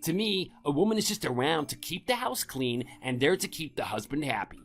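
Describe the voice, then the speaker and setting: A man's voice: on the high side, expressive, fairly quick, soft background music. An actor playing a domineering, contemptuous middle-aged husband scolding his wife in a scripted audio drama.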